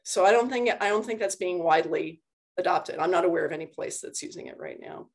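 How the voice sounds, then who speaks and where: A woman's voice: fairly low pitch, quick pace, gravelly. A woman giving an informal lecture over a video call, conversational and explaining things with everyday analogies. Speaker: university lecturer giving a talk. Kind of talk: informal slide lecture and answers. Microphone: video call computer microphone.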